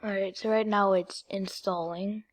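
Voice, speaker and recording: Female-sounding voice doing a casual computer tutorial, kinda halting, step by step, mid pitch. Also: steady tone. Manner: informal, halting step-by-step instructions. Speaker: video creator giving a tutorial.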